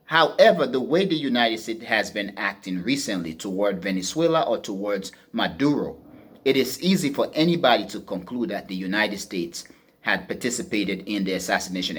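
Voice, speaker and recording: Husky low-voiced man giving political commentary. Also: a bit bright. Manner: deliberate, emphatic, repeats and rephrases phrases. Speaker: podcast host and political commentator.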